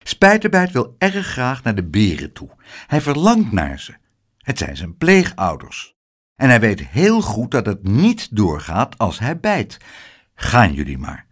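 Man playing a brisk, kindly, animated gentleman. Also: on the low side, breathy edge, heavily acted. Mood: reassuring.

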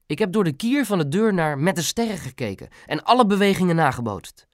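A man's voice: high voice, big pitch swings, fairly quick, dry recording, studio quality, voice pushed up. A man voicing a nervous young son with a hesitant manner in an audiobook. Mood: flustered, pleading. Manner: hesitant, stammering excuses. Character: nervous, sweaty young son covering up a fib. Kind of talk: audiobook character voice.

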